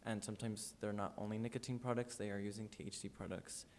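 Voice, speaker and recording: A man's voice: flat delivery, fast, a little mellow. A nineteen-year-old man hosting a student podcast in a loose, friendly way with many fillers. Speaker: podcast host. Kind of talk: casual student podcast chat. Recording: long reverb, faint rumble.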